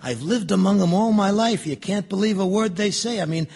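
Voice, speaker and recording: Gravelly, scornful, bigoted juror. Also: male voice, high-pitched, a little fast, a little mellow, full character voice. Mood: contemptuous. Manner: blunt, scornful, know-it-all. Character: gruff, bigoted, contemptuous male juror. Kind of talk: stage radio drama acting.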